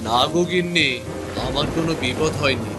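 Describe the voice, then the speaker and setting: A man acts out a poor village father for a cartoon. His voice sits a little high and sounds plaintive and careworn, with music playing clearly behind him. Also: fairly quick, lightly acted. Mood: worried. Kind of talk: Bengali cartoon dubbing.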